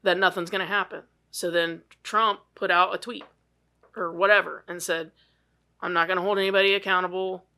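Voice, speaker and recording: A woman's voice: fairly low pitch, fairly even intonation, brisk, bright, forceful tone. A woman co-hosting a podcast in casual chat, blunt and swearing freely, laughing as she rants. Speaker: podcast co-host.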